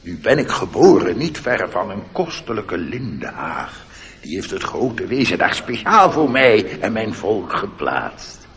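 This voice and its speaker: An actor voicing a grumpy, self-important old cockchafer beetle with a pompous, scolding manner in a radio drama. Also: male voice, fairly low pitch, big pitch swings, a little slow, fairly bright tone, slightly rough, big-room sound, heavily acted.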